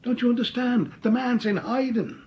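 An actor playing a smooth, mocking male crook who has faked his own death, in a radio drama. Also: very high voice, normal speed, muffled recording. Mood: suave. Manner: suave, mocking. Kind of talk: 1940s radio acting.

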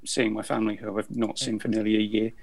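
A man who hosts a casual group chat, brisk and organizing with dry humour. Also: on the low side, little pitch movement, vocal fry. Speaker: host keeping the show on track.

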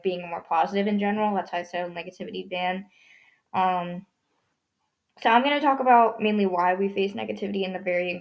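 A teenage girl hosting her own podcast in a rambling casual chat, her voice hoarse and scratchy from overuse. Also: mid-range voice. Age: teens. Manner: rambling, many fillers and restarts. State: hoarse, strained voice. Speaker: podcast host.

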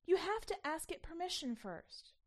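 A woman's voice: very high-pitched, big pitch swings, normal speed, pitched above the performer's own voice. A woman voicing a small, earnest, polite girl who takes rules literally, in a storybook reading. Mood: insistent. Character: small earnest rule-minded young girl.

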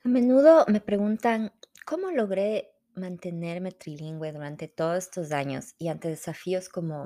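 A middle-aged woman tells her own story in a measured, unhurried way, speaking. Her voice sits a little low for a woman. She reads the script calmly as the host of her own podcast. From Ecuador. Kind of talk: scripted personal narration.

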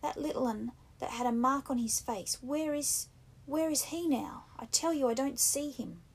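A woman voicing an anxious, fussy schoolboy who scolds the others indignantly, in husky, rough-grammared speech. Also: high-pitched, lively intonation, unhurried, darkish tone, pitched above the performer's own voice, exaggerated voice. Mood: alarmed. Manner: indignant, breathless, nagging, with a rough country-boy grammar. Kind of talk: audiobook character reading.

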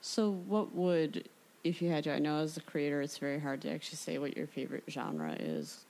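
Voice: mid-range voice, lively intonation, a little fast, vocal fry, darkish tone. An interviewer chatting casually and putting questions to a guest.

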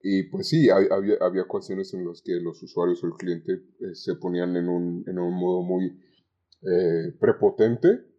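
A young Mexican man talking conversationally as a podcast guest about his experiences, with halting phrasing and repeated words. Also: low voice, fairly even intonation, mellow. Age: young adult. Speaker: podcast guest, call center agent. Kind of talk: conversational interview, recounting work anecdotes. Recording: dull, lo-fi sound.

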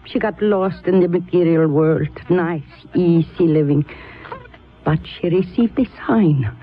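An actress playing a mystical, commanding older aunt, a solemn sorceress in a 1970s radio drama. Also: female voice, on the low side, measured pace, lightly acted. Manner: solemn, portentous. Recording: thin, narrowband 1970s radio broadcast.

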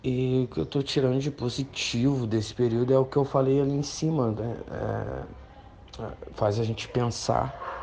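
A man answering an interview in a reflective, hesitant way, pausing and restarting often. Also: on the low side, a little mellow, slight background noise. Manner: reflective, hesitant, many fillers.

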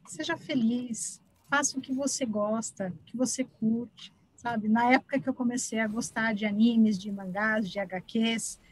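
A woman's voice: a little high, husky, no room sound, rough recording. A woman with a Brazilian accent giving casual, chatty and encouraging answers as an interview guest. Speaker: interview guest, author and art teacher.

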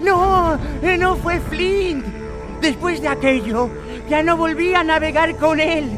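An actor playing a wary, eager old castaway pirate in a Spanish radio drama, halting and repeating himself, with a Castilian accent. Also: male voice, very high-pitched, music in the background. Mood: bitter storytelling. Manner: halting, repeats words, excitable.

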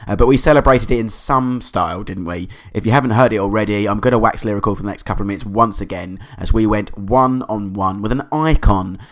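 A man hosting a podcast, hoarse from a cold, chatting in an enthusiastic, rambling way. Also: on the low side, brisk, hardly any pauses. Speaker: podcast host. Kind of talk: casual podcast monologue.

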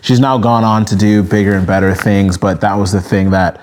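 A man in his thirties from Nigeria, raised in Minnesota, answering interview questions in a relaxed, steady storytelling way. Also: fairly low pitch, normal speed. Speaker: guest, media brand co-founder.

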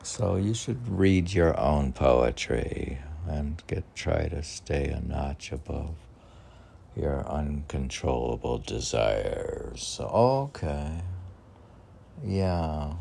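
A man talking casually and haltingly, musing and muttering to himself as he reads aloud. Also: very deep voice, expressive, gravelly, mellow, a little hiss or hum. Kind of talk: casual rambling talk while reading poems aloud.

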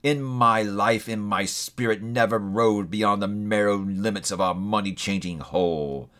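A man voicing a doomed old male ghost, solemn and grieving, speaking slowly and heavily in a storybook reading. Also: fairly low pitch, fairly bright tone. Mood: mournful. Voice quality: slow, heavy, mournful delivery.

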